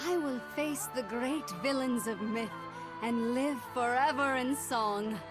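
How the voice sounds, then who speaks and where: A woman's voice: high voice, a little slow, music in the background. An actress voicing a joyous, boastful young woman warrior in a video game, grand and theatrical, proclaiming her fearlessness. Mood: grand, solemn. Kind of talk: video game champion voice acting.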